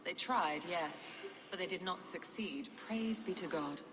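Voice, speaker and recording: An actress playing a solemn, devout medieval abbess in a game cutscene, formal and ceremonious. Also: female voice, fairly low pitch, a little slow, natural voice. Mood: pious, wary. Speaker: video game supporting character. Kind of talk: dramatic game cutscene acting. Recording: long hall reverb.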